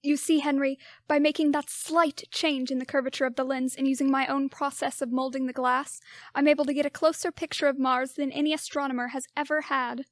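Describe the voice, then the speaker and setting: A woman's voice: high-pitched, little pitch movement, brisk, dry recording, studio quality, close to an everyday voice. A teenage girl playing a grave, foreboding male scientist and father in an amateur radio play.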